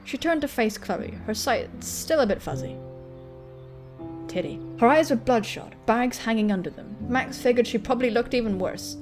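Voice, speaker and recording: A woman with a highish voice reads a visual novel as let's-play commentary. She drops crude, joking asides into the narration. Game music plays faintly behind her. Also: lively intonation, moderate pace. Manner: crude joking interjections, swearing freely. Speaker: gaming video host.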